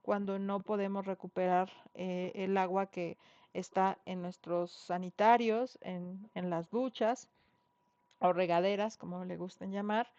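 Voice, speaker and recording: A woman's voice: mid-range voice, steady tone, a little slow, mellow, no echo. Mexican woman lecturing, chatty and dry-toned. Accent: Mexican Spanish (Mexico City). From Mexico City (Xochimilco). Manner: conversational, frequent fillers and tag questions. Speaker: university lecturer. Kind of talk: online class lecture. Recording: dull dry online class audio.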